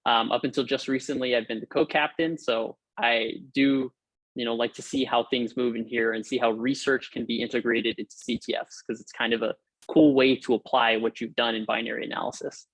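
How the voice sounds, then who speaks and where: A man's voice: mid-range voice, flat delivery, a little fast, no echo, poor audio. A young man from Hawaii giving an upbeat, informal technical talk over a video call, with frequent fillers and restarts. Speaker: guest lecturer. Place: room at home or office.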